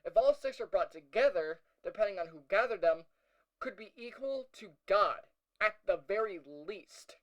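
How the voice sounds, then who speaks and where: A man's voice: high voice, expressive, moderate pace, fairly bright tone, rough recording. A man playing an uneasy, wry young hero who narrates a fiction audio log in a casual, hesitant, conversational way. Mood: pensive. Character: young male hero recounting a meeting with Lucifer, wry, uneasy.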